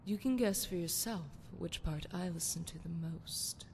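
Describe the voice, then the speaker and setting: A woman's voice: a little slow, gravelly. A woman playing a seductive, flirtatious priestess of a love goddess in a video game, with sultry, poetic, theatrical phrasing. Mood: sultry, confiding. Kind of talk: scripted video game character dialogue. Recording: long hall reverb, noisy rumbling background.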